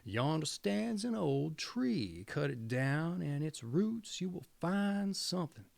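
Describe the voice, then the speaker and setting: A man's voice: fairly high pitch, sing-song, slow pace, gravelly, a little mellow, dry recording, exaggerated voice. A man voicing a little old gray man of the forest in a storybook, courteous and pleading in manner. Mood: kindly, bestowing.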